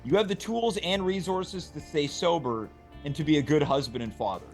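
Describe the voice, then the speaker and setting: A man reading the part of a calm, encouraging male rehab doctor in a script read. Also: on the high side, moderate pace, faint music behind, close to an everyday voice. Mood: reassuring. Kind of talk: amateur table read.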